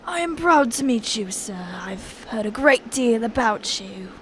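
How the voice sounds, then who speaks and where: A woman acting a loyal gentleman sidekick in an amateur audio drama, polite, proper and earnest. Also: a little high, animated, a little slow, a little hiss or hum.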